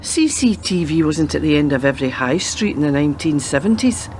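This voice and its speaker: A woman in a radio play acting a world-weary, sceptical grandmother who used to be a policewoman, speaking in a dry, measured way. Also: low voice, lively intonation, a little mellow, a little hiss or hum.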